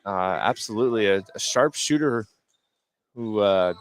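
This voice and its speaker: A man's voice: on the low side, lively intonation, measured pace. An American man giving live basketball analysis as a color commentator, with hesitant, conversational phrasing.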